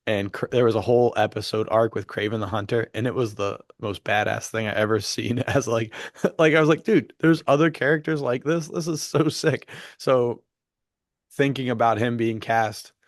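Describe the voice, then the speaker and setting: A man's voice: mid-range voice, normal speed, no echo, studio quality. A man co-hosting a podcast, chatting in an enthusiastic, slangy and jokey way, often saying "dude". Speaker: podcast co-host. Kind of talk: casual enthusiastic chat.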